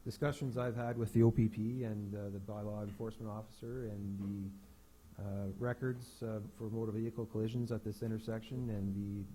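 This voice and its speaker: A town staff member, a man, answering council questions in a halting way with many restarts. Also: on the low side, level tone, mellow, a little hiss or hum, rough recording.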